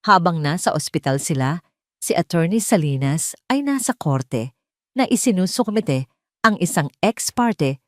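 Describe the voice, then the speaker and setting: Story narrator: Filipino woman, low register, dramatic scripted read, dry studio-like sound. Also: a little mellow, no echo.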